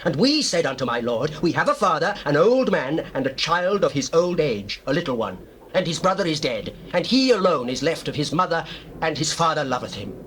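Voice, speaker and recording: A man's voice: fairly high pitch, very animated, a little fast, a bit bright. An actor playing a humble Hebrew man who pleads earnestly before a lord in dramatized scripture. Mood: pleading. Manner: earnest, supplicating, declamatory. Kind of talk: dramatized scripture, stage acting. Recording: noisy old cassette tape.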